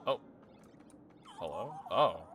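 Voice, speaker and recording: A man's voice: fairly low pitch, sing-song, slow pace, background noise. A young man casually commenting on his gameplay, thinking aloud, swearing and chuckling often. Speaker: game streamer. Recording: game music behind.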